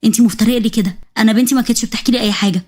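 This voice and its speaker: An indignant, protective Egyptian mother standing up for her daughter, her reproaches coming fast in a slightly high voice. She scolds and lectures without pause, as a woman storyteller puts her on. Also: steady tone, darkish tone, natural voice. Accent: Egyptian Arabic.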